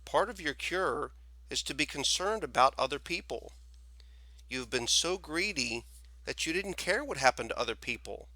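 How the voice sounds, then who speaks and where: A man voicing a calm, stern old storekeeper in a storybook, speaking in an unhurried, admonishing way. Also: fairly high pitch, no room sound, pitched above the performer's own voice. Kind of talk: storybook character voice.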